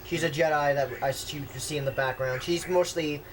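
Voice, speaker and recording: A man's voice: fairly high pitch, steady tone, brisk, background noise. A young man hosting a casual movie commentary, sarcastic and argumentative, swearing freely.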